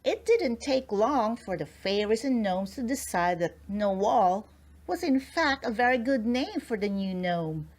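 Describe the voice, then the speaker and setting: A woman's voice: on the high side, expressive, measured pace, darkish tone. A woman narrating a children's storybook in a scripted read-aloud style.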